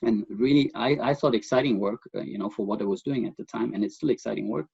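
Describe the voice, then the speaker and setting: A middle-aged man with a German accent, speaking as the guest expert in a remote interview in a thoughtful, halting way with many fillers. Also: fairly low pitch, vocal fry, darkish tone, slightly rough, dry recording, dull audio.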